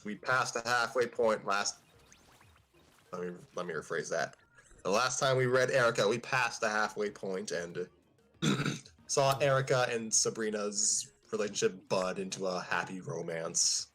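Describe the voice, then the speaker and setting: A man with a fairly low voice hosts a casual story-reading group. He talks informally with co-hosts, with faint music playing behind his voice. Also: a little slow, fairly bright tone. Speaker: podcast host reading fan fiction.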